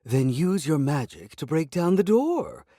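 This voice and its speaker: A man's voice: mid-range voice, very animated, a little slow, darkish tone, dry recording, clean studio sound, pitched above the performer's own voice. A man voicing a haughty, demanding female talking cat with a snippy, imperious manner, reading an audiobook. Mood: irritated.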